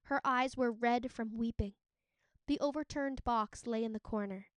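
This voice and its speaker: A woman narrating a storybook as a teacher would, in an expressive read-aloud style. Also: a little high, moderate pace, smooth, dry recording.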